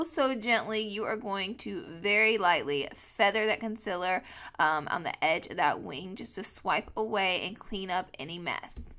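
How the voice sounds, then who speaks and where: Young woman beauty vlogger, congested and nasal, upbeat chatty tutorial delivery, crisp bright tone. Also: mid-range voice. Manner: upbeat, addresses viewers as guys. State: stuffy nose from allergies.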